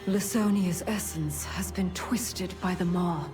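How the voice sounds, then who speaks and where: Music plays strongly behind a grave, regal winged guardian voiced by an actress. She speaks slowly and formally, every phrase measured with authority, in a fantasy game style. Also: on the low side, close to an everyday voice. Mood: solemn, measured. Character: solemn, authoritative winged female celestial paragon. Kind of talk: fantasy video game voice acting.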